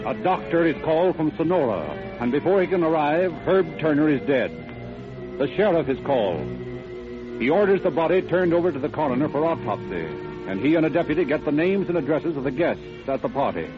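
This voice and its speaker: An actor as a grave, matter-of-fact narrator of an old radio crime drama. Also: male voice, fairly high pitch, expressive, fairly quick, natural voice. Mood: grave, factual. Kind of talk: 1940s radio scripted narration. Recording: muffled old radio, music behind.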